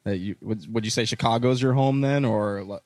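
A man's voice: fairly low pitch, a little fast, darkish tone. A man interviewing a guest, asking friendly questions that stumble and restart as he goes. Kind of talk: casual interview chat.

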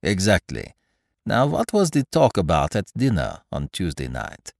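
A man voicing a genteel, shrewd foreign detective in an audiobook, speaking formally and deliberately with the odd French phrase. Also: low voice, huge pitch swings, creaky, dry recording, clean studio sound, heavily acted. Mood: polite, probing. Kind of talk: audiobook character voice.

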